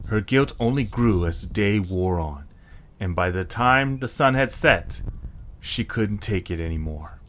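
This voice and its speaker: A man's voice: lowish voice, animated, measured pace, a bit bright, a little hiss or hum. A man narrating a scripted story.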